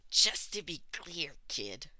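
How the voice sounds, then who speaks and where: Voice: mid-range voice, big pitch swings, takes it slowly, bright, forceful tone, dry recording, exaggerated voice. A narrator voicing an irritable, sullen male villain gang leader who snaps and speaks sarcastically. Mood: grudging, curt. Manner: snappish, sarcastic, swearing.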